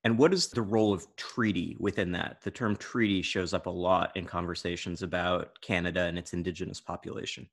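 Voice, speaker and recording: Low-voiced host asking questions. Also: male voice, fairly even intonation, fairly quick, few pauses. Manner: brief, attentive prompts. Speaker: podcast host. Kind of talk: interview questions.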